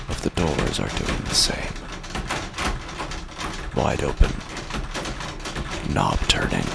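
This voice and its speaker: Man acting a hushed, creaky-voiced madman in horror. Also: rumbling low, heavy background noise, pitched below the performer's own voice, heavily acted. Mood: hushed dread. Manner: slow, halting, hushed, often breaking into whispers. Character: unhinged man narrator descending into door-obsessed madness. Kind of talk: first-person horror audio drama narration.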